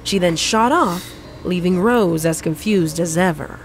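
A woman's voice: fairly low pitch, unhurried. A woman narrating a scripted comedic story as a storyteller. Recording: faint music behind the voice.

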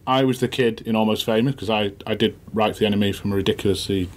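A man's voice: on the low side, fairly even intonation, quick pace. A man co-hosting a music podcast, chatting in a self-deprecating way with many fillers. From Manchester, England. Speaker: podcast co-host, former music journalist. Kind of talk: casual podcast chat.

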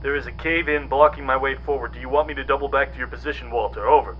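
A man's voice: mid-range voice, fast talker, highs cut off. A man playing a wary soldier reporting over a military radio in an audio drama. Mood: wary, businesslike. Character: wary Canadian soldier exploring a cave by radio. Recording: faint radio static and noise.

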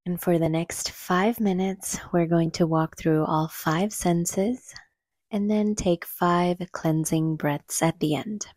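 Slow, mellow woman guiding meditation. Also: fairly low pitch, steady tone, no room sound, clean studio sound. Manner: soothing, gentle, unhurried with soft breathy phrase endings. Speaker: guided meditation instructor. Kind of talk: calm guided meditation narration.

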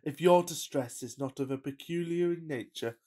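An impulsive, fickle Victorian gentleman, played by a man in a radio farce. He gushes in florid, pompous phrases with melodramatic feeling. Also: a little high, moderate pace, lightly acted. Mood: effusive wooing. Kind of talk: farcical Victorian radio play acting.

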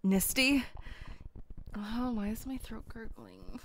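A woman's voice: medium pitch, very animated, moderate pace, darkish tone, slight background noise. A woman doing casual amateur voice acting outtakes, goofy and playful, with rambling asides between script lines. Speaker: amateur voice actor.

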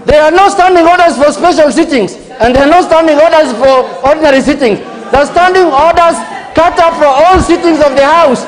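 A middle-aged man, a member of parliament at a desk microphone, arguing defiantly and rising to shouts. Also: very high voice, a little fast. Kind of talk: combative floor debate. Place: large parliament chamber. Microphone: desk microphone, standing. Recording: long hall reverb, faint crowd murmur.

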